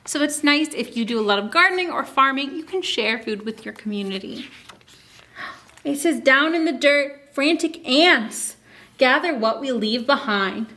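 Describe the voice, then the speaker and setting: A woman hosting a children's story time, reading a picture book aloud expressively and pausing to ask young listeners questions and explain. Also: sits high. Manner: asks listeners questions, expressive teaching delivery.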